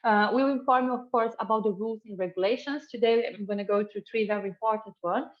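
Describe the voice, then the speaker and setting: A woman's voice: mid-range voice, fast, lo-fi sound. A middle-aged woman from Brazil hosting a housing webinar, friendly and full of fillers and restarts. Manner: friendly, many fillers and restarts, laughs easily. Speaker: webinar host, housing buying manager. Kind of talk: presenting a webinar.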